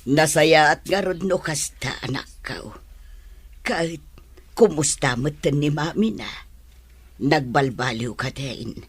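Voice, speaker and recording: A woman playing a caring, inquisitive middle-aged mother in an audio drama, with a husky voice. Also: low-pitched, slow, long pauses, dry recording, exaggerated voice. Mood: curious, concerned.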